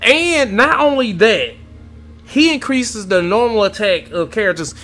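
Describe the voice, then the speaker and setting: Young guy hyped up reacting to a game at home, bright high voice, cusses a lot, little game music behind. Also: unhurried. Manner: excitable, swears a lot, talks to viewers. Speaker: gaming YouTuber. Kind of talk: casual gameplay reaction commentary. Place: home room with gaming chair.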